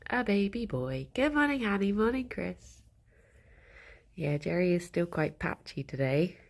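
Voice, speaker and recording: A woman's voice: on the low side, big pitch swings, a little slow, gravelly. A woman with a stuffed-up nose, chatting casually with her live chat, greeting viewers by name and giggling often. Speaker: streamer.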